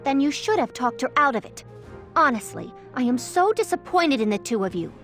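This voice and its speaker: A woman's voice: high voice, very animated, a little fast, fairly bright tone, soft background music, heavily acted. A woman playing a stern, dutiful bodyguard and soldier in a video game, speaking formally and exactingly. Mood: stern, disappointed. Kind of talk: video game cutscene voice acting.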